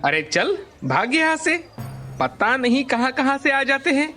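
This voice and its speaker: Scheming, jealous vendor villain for a moral tale, played by a man; scornful grumbling, very animated pitch, unhurried pace. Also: faint music behind, exaggerated voice. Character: jealous, scheming, rude male ice-cream vendor. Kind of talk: dramatized Hindi moral storytelling.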